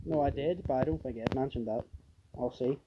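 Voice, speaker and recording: A man's voice: mid-range voice, fairly quick, highs cut off, a little hiss or hum. A man chatting casually and reading a comic story aloud as a podcast host, prone to giggling. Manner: breaks into giggles while reading.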